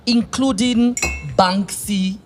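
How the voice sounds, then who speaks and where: A man's voice: high-pitched, fairly even intonation, takes it slowly, a little hiss or hum. A man with a Kenyan accent hosting a podcast interview in a casual, playful way, often repeating phrases for emphasis. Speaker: podcast host.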